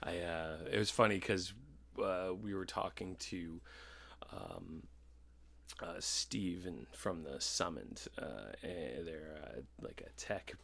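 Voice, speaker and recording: A man's voice: sits low, very animated, slow pace, creaky, a bit bright. A man co-hosting a podcast in casual chat, rambling and agreeable, with hesitant phrasing and some loose swearing. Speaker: podcast co-host.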